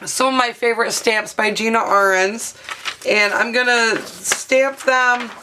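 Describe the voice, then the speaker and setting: A hoarse woman in her thirties, her voice partly lost, chatting casually with many fillers as she talks through a craft tutorial at her home studio desk. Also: on the high side, fairly even intonation, measured pace, sharp tone, a little hiss or hum. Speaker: craft video host. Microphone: overhead camera mic, speaker off-frame.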